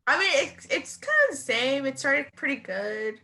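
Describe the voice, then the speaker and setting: A woman's voice: sits high, expressive, normal speed, bright, forceful tone. A woman hosting a casual podcast, upbeat and asking her guests questions. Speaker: podcast host.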